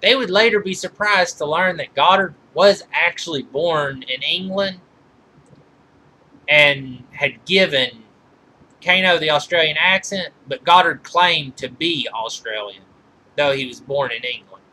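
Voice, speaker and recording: A man giving informal film trivia commentary as a reviewer, halting and often correcting himself mid-sentence. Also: a little high, bright, forceful tone, a little hiss or hum, low-quality recording. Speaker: movie reviewer.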